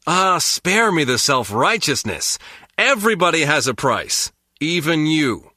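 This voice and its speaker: Sardonic American turncoat spy, bright-voiced. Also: male voice, fairly high pitch, sing-song, measured pace, dry recording, studio quality, full character voice. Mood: cynical, self-justifying. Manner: sardonic, slangy. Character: cynical, greedy American turncoat spy, unrepentant and mocking. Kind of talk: video game voice acting.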